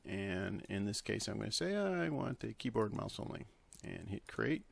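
Low-voiced man with a gravelly creak. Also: very animated, moderate pace, no echo. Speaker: software tutorial presenter. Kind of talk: unscripted screen-recorded tutorial.

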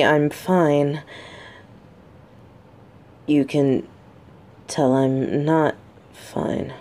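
A woman's voice: low-pitched, warm tone. A woman playing a guarded, sarcastic but secretly vulnerable lieutenant and bodyguard in an intimate audio roleplay. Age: adult. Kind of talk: scripted roleplay audio drama, addressed to listener.

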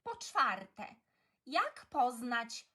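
A woman narrating a children's poem in an expressive, theatrical style with deliberate phrasing. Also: a little high, husky. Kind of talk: expressive children's poetry reading.

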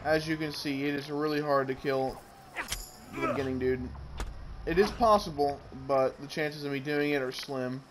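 A man's voice: a little high, normal speed, background noise. A man giving casual commentary as he plays a video game, talking as the host. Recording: game music clearly behind voice. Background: video game music.

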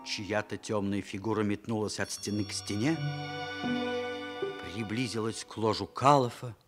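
A man's voice: on the low side, fairly even intonation, moderate pace. A man narrating a radio play in a scripted storytelling style. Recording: loud music over the voice. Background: loud instrumental music.

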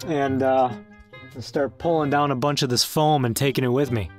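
A man chatting casually in a low-key, wry way. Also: steady tone, normal speed, faint music behind. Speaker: voice actor. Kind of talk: casual chat.

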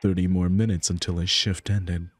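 Low-voiced man narrating a story. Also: level tone, moderate pace, husky, dry recording. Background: background music.

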